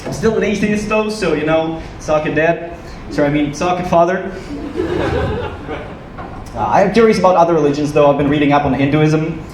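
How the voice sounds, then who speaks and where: A man from Ukraine performing stand-up comedy to a live audience with a dry, joking delivery and hesitant pauses. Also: on the high side. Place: small comedy club stage, live audience. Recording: roomy, noisy club recording from a distance.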